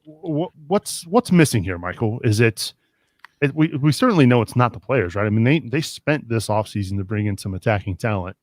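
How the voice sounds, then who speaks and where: A man's voice: fairly low pitch, animated, brisk, no echo, studio quality. Conversational male podcast host. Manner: conversational, steering the talk with questions. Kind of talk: casual sports podcast chat.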